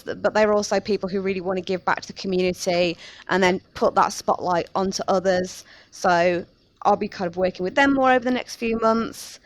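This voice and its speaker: A woman's voice: mid-range voice, dry recording. A woman hosting a podcast in a casual, articulate, playful chat. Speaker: podcast host.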